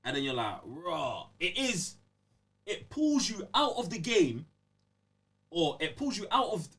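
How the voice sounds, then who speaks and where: London guy on a gaming podcast, lively up and down voice, slangy, sitting back from the mic. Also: high voice, measured pace, distant mic. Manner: slangy, emphatic, repeatedly checking the listener follows. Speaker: podcast co-host. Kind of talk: casual game review chat.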